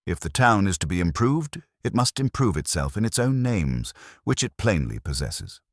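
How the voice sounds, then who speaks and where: A man voicing a composed, dignified older society woman, speaking in a formal, deliberate, arch way. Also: sits low, very animated, dry recording, studio quality, pitched above the performer's own voice, exaggerated voice. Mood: measured, arch.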